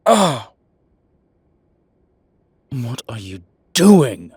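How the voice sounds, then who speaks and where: A man playing an exasperated, evasive demon professor in an audio roleplay, speaking haltingly and defensively with muttered asides. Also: mid-range voice, big pitch swings, darkish tone, slightly rough, exaggerated voice. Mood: startled, angry. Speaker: voice actor. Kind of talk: audio roleplay acting, one-sided dialogue.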